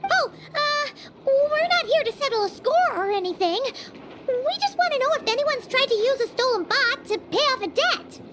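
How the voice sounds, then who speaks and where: Tiny floating sidekick, very high lively voice, blunt and bossy, game music under it. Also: female voice, very noisy. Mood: eager. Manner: blunt, teasing, quick to take charge. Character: tiny floating female companion, chirpy and blunt. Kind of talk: video game voice acting.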